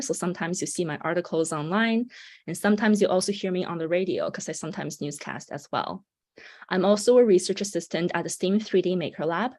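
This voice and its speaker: Young woman presenting on a video call, lowish voice, upbeat, lots of ums. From China. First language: Mandarin Chinese. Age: 20s. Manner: upbeat, with frequent um and uh fillers. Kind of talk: online presentation.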